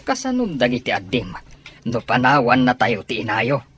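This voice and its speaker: Stubborn, animated Ilocano father. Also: medium pitch, normal speed, breathy edge, exaggerated voice. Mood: grim. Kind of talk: Ilocano radio drama acting.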